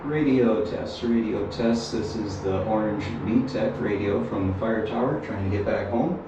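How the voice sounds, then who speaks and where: A man speaks from a little way off the mic in a strongly reverberant room, with faint noise behind him. His voice is on the low side, and he talks casually and plainly, like someone reporting on a gear test. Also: measured pace. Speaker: reviewer testing walkie-talkie radios. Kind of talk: casual field-test narration. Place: inside a metal fire tower with windows. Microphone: action camera. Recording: roomy echo, distant mic, faint noise.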